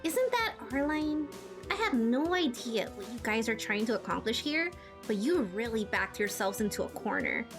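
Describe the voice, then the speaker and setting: A woman's voice: a little high, very animated, normal speed. A woman voicing a plucky, hot-headed teenage girl fighter in a video game, feisty, sarcastic and defiant. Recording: music behind the voice.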